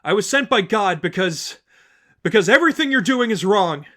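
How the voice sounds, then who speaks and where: Casting: male reader as young heroine of a dramatic story, higher pitched, defiant, earnest, emphatic delivery to a crowd. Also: animated, moderate pace, fairly bright tone. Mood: firm conviction. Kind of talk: dramatic audiobook-style fan fiction reading.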